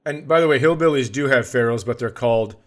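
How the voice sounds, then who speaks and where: A man's voice: mid-range voice, fast talker, a bit bright. A man co-hosting a comedy podcast in casual banter, riffing with playful exaggeration.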